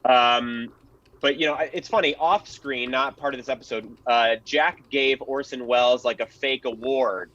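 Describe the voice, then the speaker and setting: A man's voice: medium pitch, fairly even intonation, moderate pace, fairly bright tone. A man speaking as a panel historian, explaining things casually and informally. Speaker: panelist, film and radio historian. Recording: dry, slightly dull lo-fi mic.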